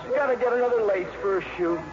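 A man's voice: sits high, fast talker, natural voice. A man playing a casual, slangy, easily puzzled teenage boy in a 1950s radio comedy. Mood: offhand. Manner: offhand, slangy. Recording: dull 1950s radio recording with music.

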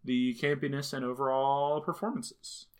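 Casual co-host, mid-pitched, rambling delivery full of fillers. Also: steady tone, moderate pace, smooth. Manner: rambling, heavy on filler words. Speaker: podcast co-host. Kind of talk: casual movie review chat.

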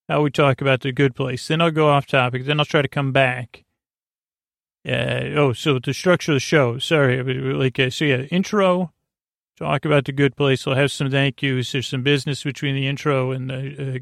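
A man hosting a bedtime podcast in a creaky, soothing voice, rambling haltingly through tangents and false starts to lull listeners to sleep. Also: medium pitch, a bit bright, no echo, clean studio sound. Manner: soothing, lulling delivery. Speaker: podcast host.

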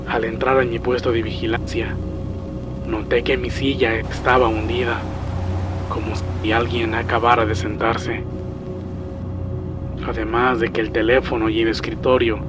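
Un hombre que interpreta a un vigilante nocturno, padre de familia, aterrado, en un relato de terror dramatizado. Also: male voice, medium pitch, lightly acted. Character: terrified night watchman, a father breaking down in panic. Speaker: storyteller in a horror story dramatization. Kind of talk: dramatized first-person horror narration. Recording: music behind the voice.

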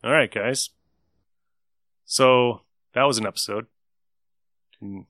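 A man's voice: medium pitch, takes it slowly, fairly bright tone, no echo, clean studio sound. A man hosting a podcast, chatting casually as he reviews an episode. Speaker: podcast host.

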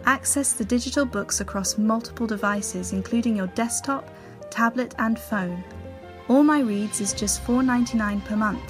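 A woman's voice: on the high side, normal speed. A woman giving a scripted promotional voice-over. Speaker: advert voice-over. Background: music bed.

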